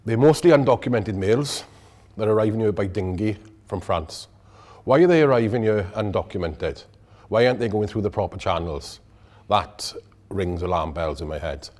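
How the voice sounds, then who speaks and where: Bloke aged 60 being interviewed, really low husky voice. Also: male voice, expressive, moderate pace, darkish tone. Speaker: interviewee, former Royal Marine and police officer. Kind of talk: documentary interview.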